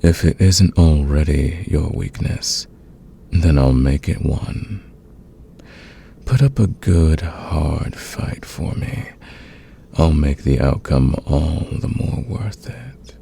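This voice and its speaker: A man playing a smitten, dominant fantasy villain in a romantic ASMR roleplay, speaking in an intimate, teasing, confident way with a gravelly, husky voice. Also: extremely low pitch, animated, slow pace, warm tone, a little hiss or hum, exaggerated voice. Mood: confessional, seductive. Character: smitten male fantasy villain, patient, dominant, smoothly seductive.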